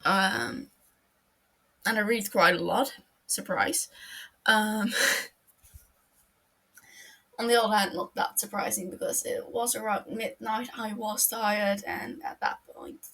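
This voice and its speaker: Slow, husky-voiced woman reading fan fiction. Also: medium pitch, a bit bright. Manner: slow, earnest reading, loose and chatty in asides. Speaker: podfic reader. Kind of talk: audiobook narration of fan fiction.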